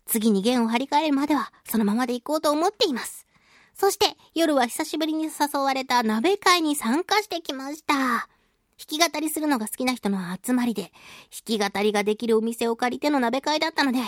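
A woman with a high, girlish pitch hosts a radio talk show. Her intonation bounces widely as she chats playfully and throws in expressive exclamations. The sound is dry, with no room echo. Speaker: radio show host, voice actress. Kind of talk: chatty solo radio talk, reading and answering listener mail.

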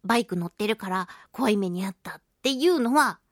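A woman hosting a casual solo radio chat, talking in a playful, animated way with touches of mock-grand phrasing. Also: mid-range voice, brisk, no echo, clean studio sound. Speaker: radio show host and voice actress.

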